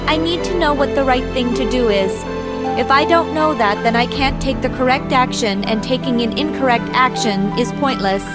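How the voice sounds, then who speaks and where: A woman plays an artificial young girl from a video game, in a fairly high voice. She speaks literally and formally, without emotion, earnest even when questioning. Music plays clearly behind her. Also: normal speed, natural voice. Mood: confused. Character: emotionless young girl, artificial being who calls herself a tool. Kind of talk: English video game voice acting.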